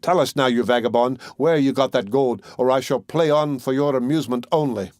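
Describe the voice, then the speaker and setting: A man's voice: mid-range voice, brisk, darkish tone, dry recording, clean studio sound, lightly acted. A man voicing an honest, merry countryman in a fairy tale, plain-spoken in manner. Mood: commanding. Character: honest, kind male countryman, merry and bold. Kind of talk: fairy tale audiobook character voice.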